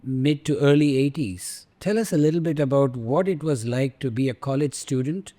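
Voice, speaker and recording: A man with a mid-pitched voice hosting an interview podcast. He speaks in a measured, formal way as he introduces his guest and asks questions. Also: dark tone. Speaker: podcast host.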